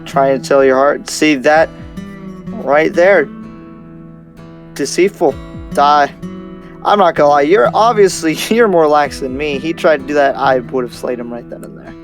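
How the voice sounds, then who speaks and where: A man's voice: fairly high pitch, a little slow, long pauses. A man playing a laid-back but hard-line demon slayer in an improvised anime game roleplay, speaking casually and tersely. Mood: scornful. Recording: dry, music behind voice.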